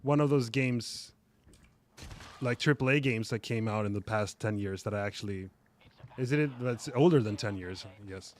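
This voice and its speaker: Halting, hedging man talking shop. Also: lowish voice. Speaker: game developer guest. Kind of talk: casual livestream chat.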